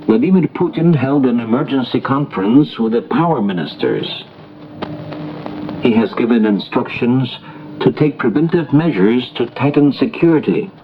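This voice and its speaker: A man reading a formal, scripted international news bulletin as a radio newsreader, in a measured delivery. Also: mid-range voice, animated. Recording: noisy, muffled shortwave radio broadcast recording.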